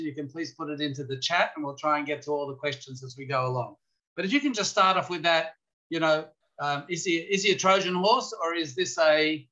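Middle-aged guy moderating a webinar, sharp bright voice, kinda muffled call audio. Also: on the high side. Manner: measured questioning with fillers. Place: home office. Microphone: video call.

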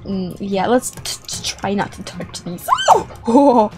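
A young woman giving excited, playful gameplay commentary, animated and exclamatory, often repeating words for effect. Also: mid-range voice, takes it slowly. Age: young adult. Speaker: gaming video host. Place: small indoor room set up for streaming. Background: background music.

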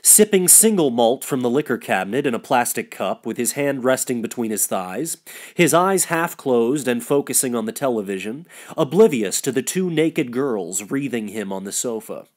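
A man's voice: mid-range voice, animated, moderate pace, darkish tone. A man giving a scripted narration of literary fiction as the reader.

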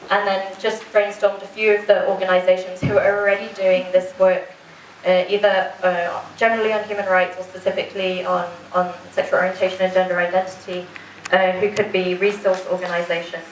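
A young woman presents informally to a workshop, rephrasing as she goes. Her intonation is very even. The room echoes and she sounds slightly distant. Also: mid-range voice, fairly quick. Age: young adult. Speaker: advocacy worker presenting. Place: conference room, indoors. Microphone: handheld microphone. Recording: roomy, slightly distant, faint noise.

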